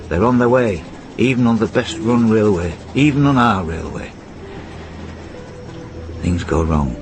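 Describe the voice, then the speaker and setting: An actor playing a weary, fatalistic middle-aged railwayman in a radio play, speaking reflectively and in measured phrases as if to absent friends. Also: on the low side, lightly acted. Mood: resigned, consoling. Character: weary veteran railwayman train driver, fatalistic, fond, reflective. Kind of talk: radio drama monologue.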